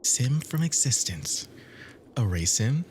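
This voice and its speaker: A performer playing an obsessive, jealous, violently devoted lover in an ASMR roleplay, theatrical and swinging between breathy whispers and manic laughter. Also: mid-range voice, sing-song, slow pace, darkish tone, slight background noise. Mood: soft, obsessive. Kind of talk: ASMR roleplay audio drama.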